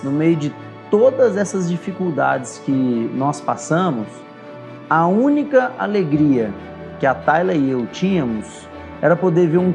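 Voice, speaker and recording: A man in his thirties tells his own story in an earnest, reflective tone, his voice sitting a little high for a man. Soft music plays faintly beneath his narration. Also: creaky. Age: 30s. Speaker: barbershop owner and course creator. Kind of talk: scripted personal story narration.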